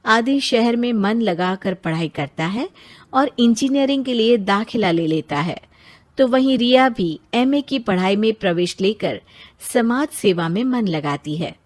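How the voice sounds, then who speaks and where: A woman's voice: fairly high pitch, animated, measured pace. A woman narrating a scripted story.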